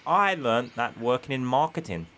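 A man's voice: medium pitch, animated, a bit bright, slight background noise, close to an everyday voice. A man playing a cheeky, scheming convict who talks in matey slang in a comic story. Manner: matey, slangy fast-talker. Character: cheeky, scheming male convict posing as easygoing nobleman.

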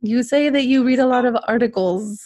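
A woman's voice: fairly high pitch, a little fast, a little mellow. A woman hosting a casual interview, friendly and laughing often as she asks rambling questions. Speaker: interview host.

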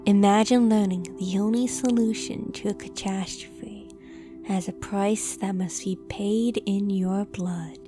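A woman reading scripted narration for a horror audio drama trailer in a measured, dramatic delivery. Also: medium pitch, fairly even intonation, mellow. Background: music.

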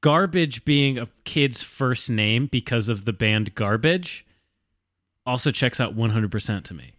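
Male panelist, mid-pitched, rambling comic chat, thinking aloud, recorded close and dry. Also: expressive, measured pace, fairly bright tone, no echo. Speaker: podcast panelist.

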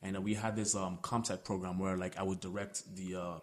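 A young filmmaker chats easily about how he started out, with plenty of fillers and laughs. His voice is on the low side. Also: a little fast. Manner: relaxed, many fillers like "like" and "you know", laughs. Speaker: filmmaker guest. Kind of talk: casual conversation.

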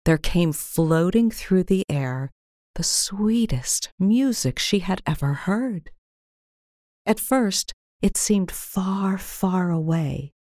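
A woman reads a storybook tale in a low register. Her delivery is slow and gentle, with careful measured phrasing. The sound is dry, with very little room around it. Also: dry recording. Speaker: story reader. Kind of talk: scripted storybook narration.

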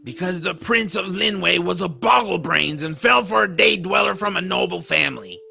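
A man playing a bitter, defiant, sardonic troll with a rough, gravelly voice in an audiodrama. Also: sits high, moderate pace, sharp tone, faint music behind, full character voice. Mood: scornful, indignant. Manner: sardonic, indignant storytelling. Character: bitter, defiant troll, a disfigured dark-elf runaway slave. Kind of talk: audiodrama character acting.

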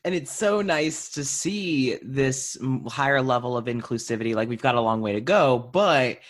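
A man's voice: medium pitch, lively intonation, moderate pace. A man hosting a podcast, talking casually and conversationally with a guest. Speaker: podcast host. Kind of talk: casual interview chat.

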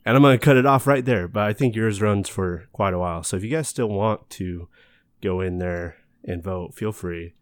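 A man's voice: lowish voice, moderate pace, no echo. A man hosting a casual podcast, talking in a friendly, rambling way and laughing easily. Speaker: podcast host. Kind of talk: casual chat.

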